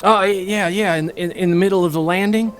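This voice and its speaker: Man putting on a jittery Texan runaway who stammers through every line, pitched up. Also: fairly even intonation, a little fast, a bit bright, soft background music. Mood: scared. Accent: Texas. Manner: stammering, breathless. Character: scared stammering young male fugitive.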